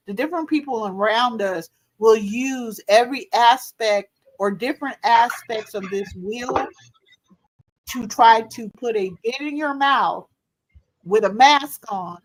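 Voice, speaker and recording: A woman's voice: mid-range voice, measured pace, poor audio. A woman hosting a livestream, talking casually with slang and poking fun at herself. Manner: casual, slangy, self-mocking.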